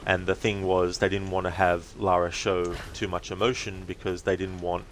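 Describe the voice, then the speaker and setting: A man giving casual, reflective livestream commentary as a game streamer, speaking haltingly in short phrases. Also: low voice, little pitch movement, fairly bright tone, a little hiss or hum.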